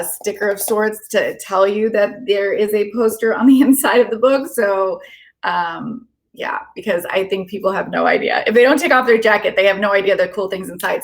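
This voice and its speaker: A woman children's author chatting casually and reading aloud to children, with an excitable manner. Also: mid-range voice. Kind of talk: casual chat and animated read-aloud for children.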